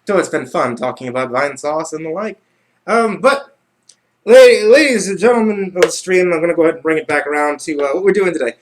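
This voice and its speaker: A man with a slightly high voice is chatting as the host of a livestream. He talks casually and rambles, doubling back over his own phrases. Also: fairly quick, low-quality recording. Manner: rambling, repeats himself.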